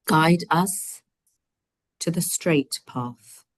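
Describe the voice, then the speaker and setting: A woman hosting and translating in an online study session, talking in a gentle, chatty way with many fillers, her voice a little croaky. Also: deep voice, drawn-out words, dry recording, clean studio sound. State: slightly croaky voice. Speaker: host. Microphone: video call.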